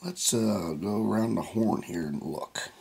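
A man hosting his own scratch-off ticket channel, hoarse and raspy after losing his voice, talking in a slow, plain way and reading numbers out one by one. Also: on the low side, a little mellow. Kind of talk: casual vlog narration.

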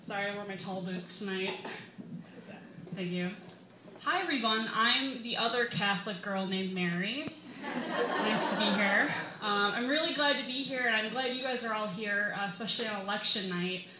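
A young American woman reading a comic personal essay to a live audience, with wry, deliberate emphasis. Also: mid-range voice. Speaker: stand-up comedian reading an essay. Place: small brick-walled bar, indoors. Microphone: stand microphone, camera recording. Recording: roomy live bar recording, some background noise.

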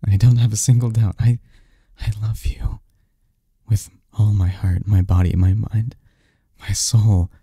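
A man playing a lovestruck young research assistant in an intimate ASMR romance roleplay, murmuring haltingly as he confesses to his best friend. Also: lowish voice, little pitch movement, mellow, husky, no echo, clean studio sound, exaggerated voice. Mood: earnest, pleading. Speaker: audio roleplay performer.

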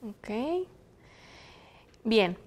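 A young woman from Zacatecas with a Mexican Spanish accent, hosting a studio discussion on a lapel mic in a TV studio, speaking in a measured, explanatory way. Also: on the high side, big pitch swings. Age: young adult. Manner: measured, explanatory, reads passages aloud. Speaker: host. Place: television studio, indoors.

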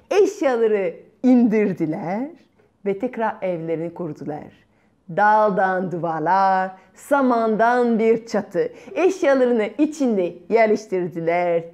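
A middle-aged woman telling a children's fairy tale expressively, with dramatic pauses. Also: medium pitch, a little mellow. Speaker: children's storyteller. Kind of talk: performed fairy-tale storytelling. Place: bright room at home by a window. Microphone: small clip-on lapel mic.

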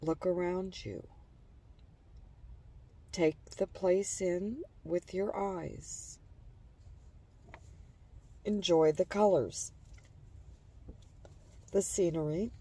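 A woman narrating a guided imagery relaxation in a calm, gentle, soothing manner, letting phrase endings trail softly. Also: lowish voice, very slow, pauses often, husky, dry recording, far from the mic.

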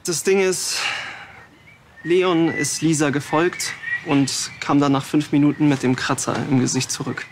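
A man's voice: a little high, little pitch movement, unhurried, background noise. A young man playing a hesitant, colloquial police witness in a TV crime drama. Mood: confiding. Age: young adult.

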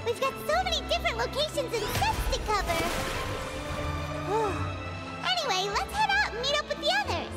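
Casting: tiny childlike fairy sidekick, extremely high and squeaky, animated, cheeky, over loud game music. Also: female voice, normal speed. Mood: excited. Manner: exclamatory, inquisitive. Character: tiny chirpy childlike floating fairy companion, curious and cheeky.

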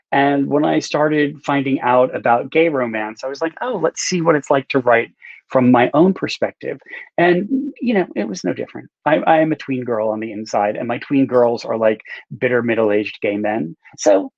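A man's voice: mid-range voice, creaky, no room sound. A middle-aged man chatting casually and self-deprecatingly as he answers interview questions in a rambling way over a video call. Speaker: author and writing teacher. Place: small book-lined room indoors, on video call. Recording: dull lo-fi video-call audio.

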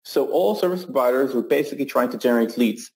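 A man co-presenting a sales webinar, explaining the topic in a calm, plain way. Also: mid-range voice, fast, low-quality recording.

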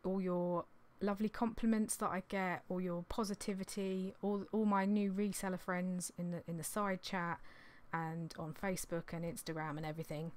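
A woman in her thirties, slightly hoarse from losing her voice, chatting in a rambling, apologetic way on a vlog, recorded in a small indoor craft room on a desktop mic. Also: on the low side, steady tone, normal speed, hardly any pauses. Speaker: clothing reseller vlogger. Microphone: desktop condenser mic on an arm.

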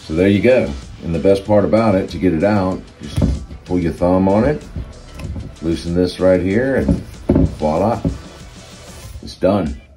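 Middle-aged male instructor, deep and unhurried, measured step-by-step demonstration with short pauses. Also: music in the background. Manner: measured, pausing between short phrases. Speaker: expert sailor demonstrating.

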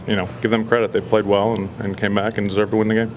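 A man in his forties, a football head coach, answering reporters after a loss in a measured, halting way with many fillers. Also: lowish voice, flat delivery, heavy background noise. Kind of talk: post-game press scrum. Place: indoor press backdrop area. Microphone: several handheld reporter mics.

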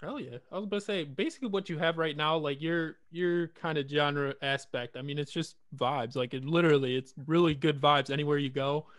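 A man's voice: fairly high pitch, a little fast, few pauses, a bit bright, no echo. A young man hosting a casual interview, enthusiastic and slangy, talking into a studio mic in a small home room. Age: young adult. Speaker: host. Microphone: large studio mic on an arm.

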